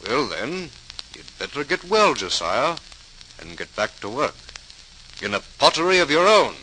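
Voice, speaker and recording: An actor playing a hearty, practical, admiring brother with emphatic delivery in an old-time radio drama, on a noisy vintage recording. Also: male voice, medium pitch, sing-song, measured pace, bright, forceful tone. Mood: encouraging, delighted.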